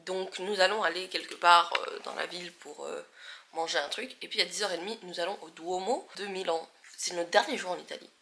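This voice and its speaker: A woman's voice: lowish voice, brisk, gravelly, sharp tone, a little hiss or hum. A young woman from Belgium chatting casually to camera in a travel vlog, with chatty, self-mocking asides. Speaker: vlogger.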